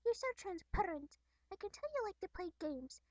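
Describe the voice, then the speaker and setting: A woman voicing a playful, excitable, catlike teenage troll girl with a childlike, teasing manner. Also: extremely high pitch, moderate pace, no room sound, voice pushed up, poor audio. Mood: teasing indignation.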